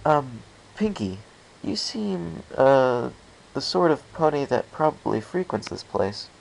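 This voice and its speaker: A man's voice: mid-range voice, big pitch swings, slow pace, creaky, darkish tone, pitched above the performer's own voice, heavily acted, poor audio. A man voicing an awkward, shy, polite young male storybook pony with a hesitant manner. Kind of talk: storybook character voice in fan fiction reading.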